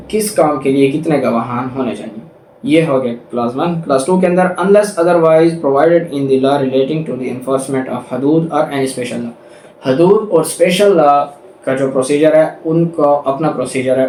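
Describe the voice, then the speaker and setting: A man's voice: a little high, a little mellow. A roomy, slightly distant recording with faint noise carries a man with a Pakistani accent. He lectures on law in a didactic manner, going back over each point in other words. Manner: didactic, repeats and rephrases points. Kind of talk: explanatory law lecture, reading statutes aloud then explaining.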